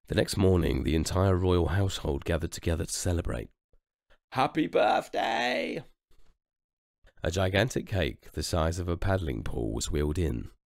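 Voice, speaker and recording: Low gravelly guy reading a kids story, really expressive, dry close sound. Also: fairly quick, few pauses, no room sound. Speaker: storybook reader. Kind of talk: expressive children's story narration.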